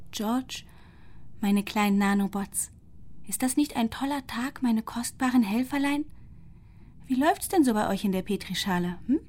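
An actress playing a curious, chatty bioinformatician in a radio drama. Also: female voice, a little high, a little mellow, a little hiss or hum. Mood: doting, playful.

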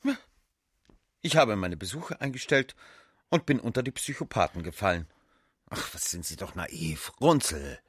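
A flippant, husky-voiced gentleman. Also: fairly low pitch, sing-song, moderate pace, pauses often, dry recording. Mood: dry mockery. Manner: flippant, mocking. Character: evasive, teasing gentleman, cynical ladies' man. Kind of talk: German radio drama acting.

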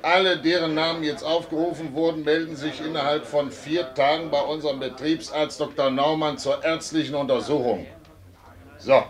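An actor playing a formal depot official announcing to staff in a radio play. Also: male voice, fairly high pitch, steady tone, normal speed, crowd noise, natural voice. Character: official male foreman announcing names to staff. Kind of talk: German radio play acting.

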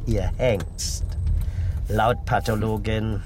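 An actor playing a wry, probing police detective in a naturalistic radio crime drama, with a dry, ironic way of questioning. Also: male voice, fairly low pitch, fairly even intonation, drawn-out words, noisy background. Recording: music behind the voice.

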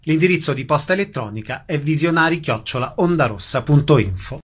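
A man's voice: a little high, expressive, brisk, slightly rough. A man hosting a radio show, reading out scripted announcements. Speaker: radio host.

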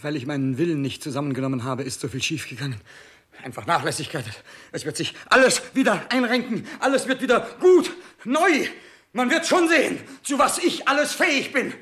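Raging, childish monarch facing death; theatrical, imperious, forceful bright voice, radio drama. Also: male voice, sits high, expressive, brisk. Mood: brooding, bitter, then manic commanding. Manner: imperious, theatrical, swinging from murmurs to shrieks. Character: proud, desperate dying king, childish and raging. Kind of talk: 1960s German radio play acting.

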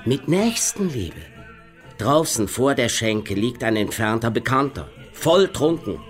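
Secretive, persuasive nobleman for radio drama; animated intonation, faint music behind. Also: medium pitch, a little slow. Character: mysterious, smooth-talking noble stranger with an errand. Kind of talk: German radio play acting.

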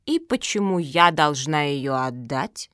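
A woman voicing a greedy, haughty old female turtle who drawls slowly and scornfully in a storybook reading. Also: on the low side, big pitch swings, fairly bright tone, clear, resonant tone, dry recording, clean studio sound, exaggerated voice. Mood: imperious, mocking.

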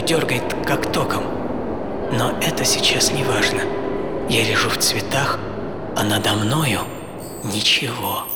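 A man's voice: mid-range voice, very noisy, close to an everyday voice. An actor playing a bedridden eleven-year-old boy who dreams of racing, in a theatrical radio monologue told in short clipped phrases. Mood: hushed wonder. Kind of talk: dramatic first-person monologue in radio play.

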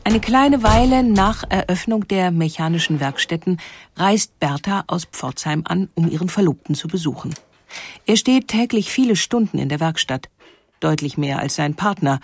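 A woman narrating a scripted historical documentary in a measured, clearly phrased storytelling manner. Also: lowish voice, dry recording. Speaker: narrator of a historical audio feature.

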